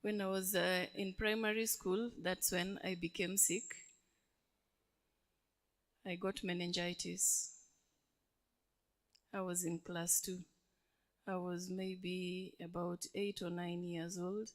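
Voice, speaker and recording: A woman's voice: fairly low pitch, level tone, slow pace, long pauses. A deaf woman giving a testimony in church, engaging the congregation with questions. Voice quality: deaf speaker. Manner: engaging, asks the audience questions.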